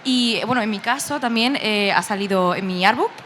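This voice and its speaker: A woman's voice: medium pitch, moderate pace, a bit bright, slight background noise. A woman who is a manga artist guest, talking enthusiastically and laughing easily in a casual interview. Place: busy convention hall.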